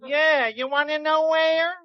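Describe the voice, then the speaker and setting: A slow, lazy dim young man. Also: high-pitched, steady tone, no room sound, muffled recording. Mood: deadpan.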